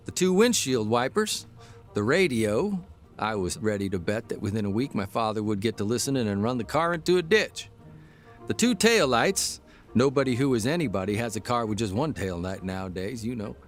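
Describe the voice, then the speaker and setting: Soft music sits under a man playing a folksy newspaper columnist in a radio drama. He speaks at a medium pitch in a homespun, conversational storyteller's way, with a dry wryness. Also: lively intonation, moderate pace, lightly acted. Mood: wry, amused. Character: folksy newspaper columnist, a worried, tender husband.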